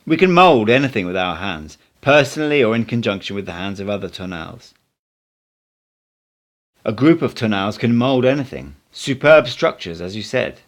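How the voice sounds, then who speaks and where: A man's voice: on the low side, expressive, normal speed. Man voicing an unruffled, teasing mystic teacher. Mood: calm, explaining. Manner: declarative, instructive, teasing. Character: male mystic teacher, unruffled, mocking, cryptic. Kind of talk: audiobook character dialogue.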